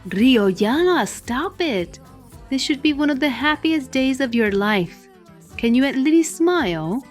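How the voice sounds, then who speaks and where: Coaxing mom, middle-aged, fairly high voice, scolding and pleading, music behind. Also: female voice, animated, slow pace.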